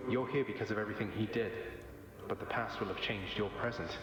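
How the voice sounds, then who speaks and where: Wry young prince in a game, dry understated, super echoey dreamy reverb, music under. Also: male voice, on the low side, steady tone, quick pace. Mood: wry, uneasy. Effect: heavy dreamlike echo and reverb. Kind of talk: scripted game acting. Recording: very echoey, long hall reverb.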